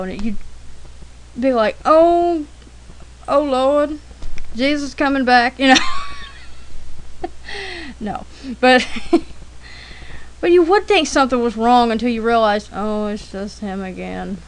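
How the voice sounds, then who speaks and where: A woman talks casually and informally at an unhurried pace, in the rambling way of someone telling anecdotes during an art demo. Her pitch sits in the middle range for a woman. The recording has faint noise behind the voice. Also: poor audio. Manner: chatty, informal, frequent throat clearing. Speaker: artist narrating a drawing demonstration.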